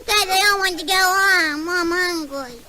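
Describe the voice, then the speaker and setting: High, cheeky little girl. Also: a little slow, a loud crowd. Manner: pert, slangy, childlike backchat. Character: cheeky literal-minded little girl, stubborn and mischievous. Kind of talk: old-time radio comedy sketch. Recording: noisy old radio recording.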